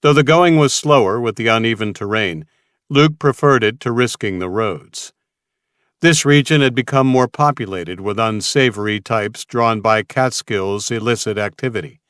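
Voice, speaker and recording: A man's voice: lowish voice, normal speed, fairly bright tone, smooth, no room sound, studio quality. A man reading a scripted story as a dramatic audiobook narrator.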